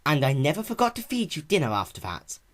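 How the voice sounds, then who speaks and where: A performer voicing a caring female pony in a cartoon-based story reading, soft, patient and reassuring like a big sister. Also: mid-range voice, big pitch swings, quick pace, voice pushed up.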